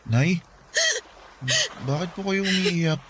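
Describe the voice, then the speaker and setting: A young actor playing a dutiful eldest son just out of high school, polite and anxious, in a radio drama. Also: male voice, takes it slowly, very noisy. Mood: fearful. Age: young adult.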